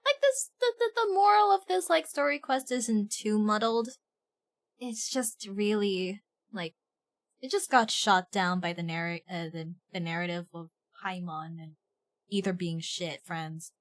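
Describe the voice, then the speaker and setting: A woman hosting a casual game livestream, chatting in a giggly, excitable, gushing way with plenty of swearing. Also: medium pitch, unhurried. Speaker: livestream host.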